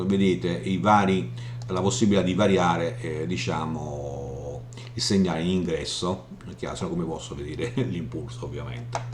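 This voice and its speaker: A man giving an informal software walkthrough, explaining haltingly and often checking in as he goes. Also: deep voice. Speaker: software tutorial presenter. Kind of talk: informal screen demo walkthrough. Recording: roomy echo, audible background hum noise.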